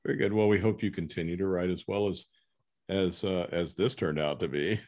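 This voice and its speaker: A man hosting an unscripted literary interview as interviewer, speaking haltingly with pauses mid-sentence. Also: low-pitched, fairly even intonation, darkish tone. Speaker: interviewer and host.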